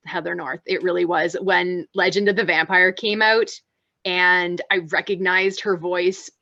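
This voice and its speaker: Woman on a fan panel, low voice, lots of likes and um, dry muffled mic. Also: fairly bright tone, no echo. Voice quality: low voice she calls masculine. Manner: chatty, many fillers and restarts. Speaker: podcast co-host. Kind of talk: casual panel discussion.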